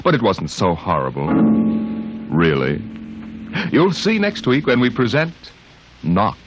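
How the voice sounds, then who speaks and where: Low-voiced male host, slow and suspenseful, music under him on an old broadcast. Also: huge pitch swings, poor audio. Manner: deep, deliberate, teasing suspense. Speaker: show host. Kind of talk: scripted radio narration.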